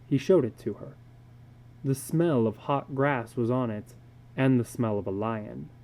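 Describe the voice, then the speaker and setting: A man giving a measured, deliberate dramatic reading of a literary story as its narrator. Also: medium pitch, darkish tone.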